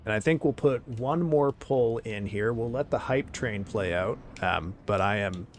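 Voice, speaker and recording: A man chatting casually on a live stream, excitable and thanking viewers, his voice getting hoarse. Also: on the low side, unhurried, slight background noise. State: losing his voice.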